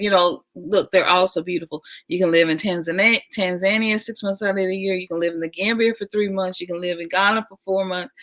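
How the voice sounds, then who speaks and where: A woman hosting a casual livestream, talking warmly and enthusiastically, repeating her phrases and making chatty asides. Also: medium pitch. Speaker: livestream host.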